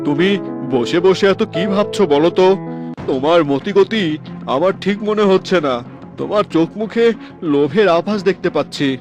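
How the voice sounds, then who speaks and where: High-ish voiced, admonishing cartoon father. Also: normal speed. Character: principled middle-aged father and husband, warns against greed. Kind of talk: Bengali fairy-tale cartoon dubbing. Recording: clear music under the voice.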